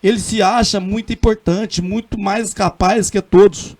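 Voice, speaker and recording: A man's voice: high-pitched, steady tone, a little slow, a little mellow. A middle-aged man with a Minas Gerais accent, a city councilman speaking earnestly and emphatically in a radio interview, often restarting his sentences. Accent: Minas Gerais Portuguese. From Brazil.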